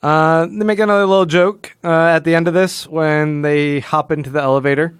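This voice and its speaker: A man's voice: fairly high pitch, steady tone, fairly quick, smooth, no echo, clean studio sound. A man co-hosting a podcast, talking casually through a comic book in a rambling, explanatory way. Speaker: podcast co-host.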